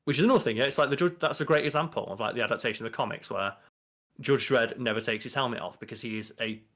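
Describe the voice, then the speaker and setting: Casting: man, thirties, mid-pitched voice, casual podcast co-host, blunt and sweary. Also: racing, fairly bright tone. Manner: opinionated, sweary, mock-quoting. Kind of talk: casual chat. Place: home, at a desk indoors.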